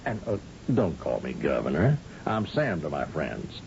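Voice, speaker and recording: A man's voice: huge pitch swings, measured pace, gravelly, a little mellow, heavily acted. An actor playing a folksy, easygoing male governor of a space outpost in a radio drama, with an informal manner. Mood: genial. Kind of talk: 1970s-style radio drama acting. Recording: muffled, noisy old radio broadcast with rumble.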